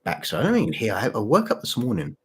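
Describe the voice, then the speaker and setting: A man with a slightly sore throat and husky voice chats casually to livestream viewers as host, halting and repeating his phrases. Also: fairly low pitch, huge pitch swings. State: mild sore throat, voice deeper than usual. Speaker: channel host and story writer.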